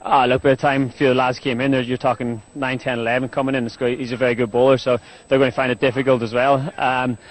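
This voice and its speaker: A man talks fast in a post-match interview, casual and halting as he restarts his sentences. His voice sits at an ordinary pitch, picked up on a handheld mic. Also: little pitch movement, rough recording. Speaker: losing team captain.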